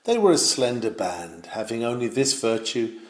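A man reading a nineteenth-century sermon aloud, measured and emphatic, rising on his questions. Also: medium pitch, sing-song. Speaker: sermon reader. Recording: roomy echo.

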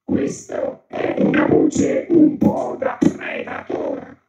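Guy doing a synth demo thru a robot vocoder, super slow, kinda lo-fi sound. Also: over loud music. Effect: vocoder, changing presets, robotic and musical. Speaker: synthesizer demonstrator. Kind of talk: product demo of vocoder presets. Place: indoor room with synth gear. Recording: dull lo-fi, synth tones throughout.

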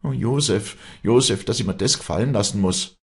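A man's voice: fairly low pitch, very animated, moderate pace, darkish tone, slightly rough, pitched above the performer's own voice, exaggerated voice. A man voicing a poor, honest young maidservant, agitated and indignant, pleading in Alpine Bavarian dialect in a storybook reading. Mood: tearful.

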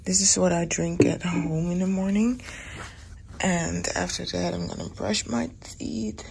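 A woman vlogging casually from a hotel room in the morning, her voice raspy and often dropping to a whisper. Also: fairly low pitch, unhurried, a little hiss or hum. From Netherlands. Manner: soft, often whispering in the dark.

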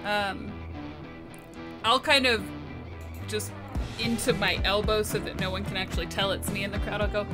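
A woman's voice: mid-range voice, fairly even intonation, normal speed, pauses often. A woman playing casually in character in a tabletop roleplay podcast. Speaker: player in an actual play podcast. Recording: music clearly behind voice.

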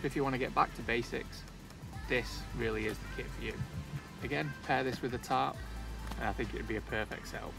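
A man's voice: mid-range voice, fairly quick, vocal fry, music in the background. A man, casually reviewing outdoor gear while sitting outdoors in a wood, talking to a camera on a tripod. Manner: chatty, relaxed, light joking asides. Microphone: camera on a tripod, also handheld selfie while walking.

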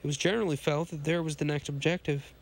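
A hoarse man with a cold, hosting a podcast and reading a pulp science fiction story aloud, often stumbling and restarting lines. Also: fairly high pitch, steady tone, fast talker.

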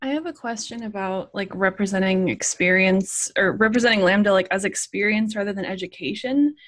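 A woman's voice: mid-range voice, no echo. Female student, skeptical, persistent, dry room-free audio. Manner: questioning, pushes back. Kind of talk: video call question.

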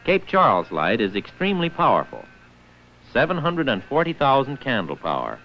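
A man's voice: mid-range voice, huge pitch swings, moderate pace, slight background noise. A man narrating a scripted 1940s instructional film in a formal, precise manner, on an old muffled film soundtrack. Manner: formal, precise enunciation of figures.

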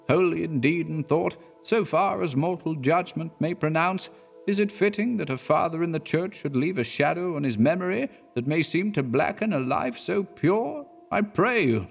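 A man's voice: gravelly, husky, exaggerated voice. A man voicing an earnest male minister who pleads at a deathbed, in a storybook reading. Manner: high, pleading, then horrified.

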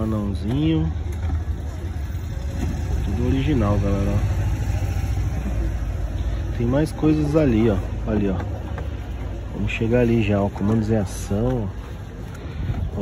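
A Brazilian man with a Brazilian Portuguese accent, filming a casual walkthrough of an outdoor flea market on a handheld phone and excitedly pointing things out as he goes. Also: mid-range voice, a little slow, pauses often. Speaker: toy collector vlogger. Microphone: handheld phone camera. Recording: busy crowd noise outdoors. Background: market crowd chatter and traffic.

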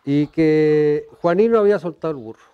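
A Chilean man in his fifties, a horse trainer, telling stories in an outdoor ranch interview, trailing off softly and restarting his phrases. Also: fairly high pitch, moderate pace, smooth, dull audio. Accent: Chilean Spanish with Mexican influence. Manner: warm storyteller, many restarts, trails off softly. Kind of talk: storytelling interview. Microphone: lapel.

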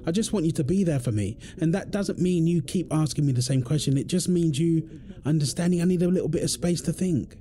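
A man's voice: on the high side, a little fast, few pauses, dark tone, close to an everyday voice. A man playing a tired, irritable firefighter husband in an intimate roleplay audio drama. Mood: frustrated, pleading. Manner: weary sighs, snappy, then apologetic.

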